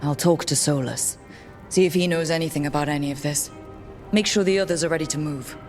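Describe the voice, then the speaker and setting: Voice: medium pitch, fairly quick, soft background music, lightly acted. An actor playing a determined young leader in a fantasy game, speaking directly and earnestly. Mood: focused.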